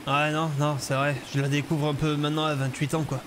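A man streaming an improvised game roleplay, talking in an excitable, theatrical way. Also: on the high side, a little fast. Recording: noisy game sound behind voice.

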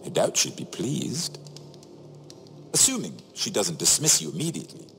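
An actor playing a wry, shrewd middle-aged imprisoned nobleman in a video game, sardonic and theatrical, phrasing each remark deliberately. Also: low voice, huge pitch swings, creaky, fairly bright tone, heavily acted. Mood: stern warning. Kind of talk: dramatic video game dialogue. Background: music.

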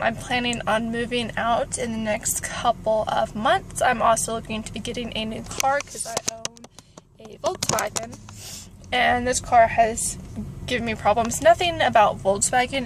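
A woman of about twenty-three speaks in a somewhat high voice. She rambles informally, as in a casual vlog. The sound is that of a phone inside a car, with noticeable background noise. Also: creaky. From California, United States. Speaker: vlogger. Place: inside a parked car with engine warming up. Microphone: phone at arm's length.

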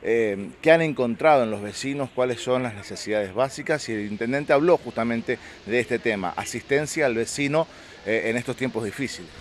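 A man's voice: medium pitch, fairly quick. A man with an Argentine accent working as a television reporter, putting questions to interviewees outdoors. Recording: faint outdoor ambient noise.

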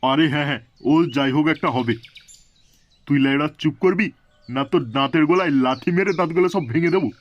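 A man plays a comedy cartoon crew boss. He blusters, swears and threatens, quick to lose his temper. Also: on the high side, muffled recording, natural voice. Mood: irritated, bossy. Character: foul-mouthed hot-tempered gang boss of a sound-system crew.

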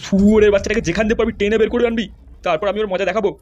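A man's voice: sits high, fairly even intonation, rapid-fire, a little mellow, natural voice. A man voicing a furious, foul-mouthed politician husband in a comedy cartoon, scolding and accusing. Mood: angry, accusing.

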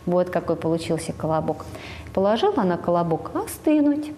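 Woman in her 40s, speech therapist, coaxing storytelling, mellow voice, long studio reverb, lapel mic. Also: on the low side, sing-song, slight background noise. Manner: slow, sing-song, prompting the child to finish words. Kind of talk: telling a fairy tale to a small child.

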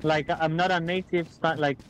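Young man, somewhat high voice, playful gamer chat, desk mic at home. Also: steady tone, moderate pace, poor audio. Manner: playful, switching between English and Spanish. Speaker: streamer playing ranked shooter. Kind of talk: casual gaming chat. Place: home room indoors.

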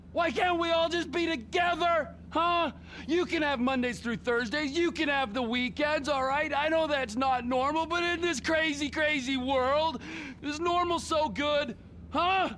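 An actor playing a crude, volatile middle-aged drifter in a video game, with a taunting, mock-sincere, theatrical manner. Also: male voice, extremely high pitch, a bit bright, slight background noise. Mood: pleading, manic. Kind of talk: video game cutscene acting.

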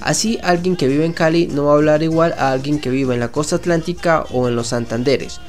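A man's voice: a little high, brisk, soft background music. A man narrating a scripted numbered list video as its host, lifting his voice at each new item. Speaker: channel host. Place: voice-over over stock footage.

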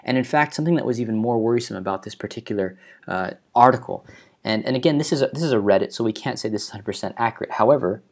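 A man's voice: medium pitch, racing. A young man from Hawaii in his twenties, talking earnestly to a webcam in his living room as he walks through the latest news and stresses the key numbers. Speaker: video host. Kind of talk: explanatory news commentary. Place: living room at home, indoors.

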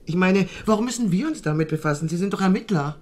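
A woman's voice: fairly low pitch, expressive, fast talker, a little mellow. Stressed out prosecutor lady, sardonic and snippy, swoops around a lot, crime radio play. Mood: irritated, sardonic. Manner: exasperated, clipped. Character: harried, irritable female senior prosecutor, brisk and sardonic. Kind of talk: German radio crime drama.

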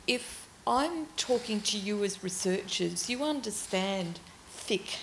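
An older woman on a panel in a large auditorium, answering thoughtfully with frequent pauses and ums into a handheld mic. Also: mid-range voice, expressive, a little hiss or hum, poor audio. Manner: thoughtful, halting with many ums. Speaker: panelist, library director.

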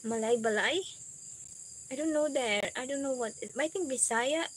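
A woman's voice: high voice, normal speed, slight background noise. A woman in her thirties with a Filipino accent, a Waray speaker, chatting casually with viewers on a livestream from her kitchen and laughing often. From Philippines. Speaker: livestream host. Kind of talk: casual livestream chat reading viewer comments. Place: small home kitchen with tin roof, evening. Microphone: phone camera close up.

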